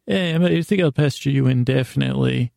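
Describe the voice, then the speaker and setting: A man's voice: medium pitch, fairly quick, gravelly, a little mellow, no echo, clean studio sound. A man voicing the pestering part of a sleepless brain, needling and dithering as it interrupts itself in a podcast monologue. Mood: teasing, needling.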